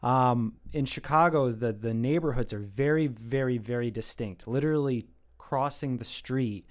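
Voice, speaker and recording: An American man chatting casually on a live art stream, thinking out loud as he talks. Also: medium pitch, moderate pace. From United States. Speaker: streaming artist. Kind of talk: casual chat while drawing on stream.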